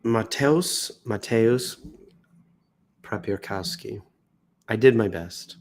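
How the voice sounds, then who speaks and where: A man's voice: fairly low pitch, slow pace, darkish tone, slight background noise. Theatrical American man riffing through the news. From United States. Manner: theatrical, swoops into exaggerated emphasis and self-mocking asides. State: sick and tiring, coughing now and then. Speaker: livestream host reading news posts. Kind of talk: off-the-cuff livestream reading with jokey asides. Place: indoors.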